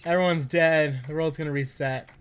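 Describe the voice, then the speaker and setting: A man chatting casually and excitably as he plays a co-op video game, swearing freely. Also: fairly high pitch, normal speed, a little hiss or hum. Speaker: gamer, video host. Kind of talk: casual co-op gameplay chat.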